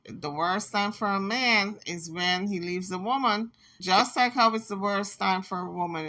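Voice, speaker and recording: Bright-voiced scolding woman commentator. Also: mid-range voice. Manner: emphatic, scolding, reads messages aloud. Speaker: commentary channel host. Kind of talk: casual gossip commentary.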